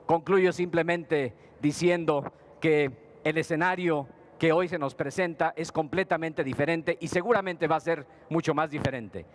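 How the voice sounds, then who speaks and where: Man giving a measured conference speech. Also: on the high side. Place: conference hall panel.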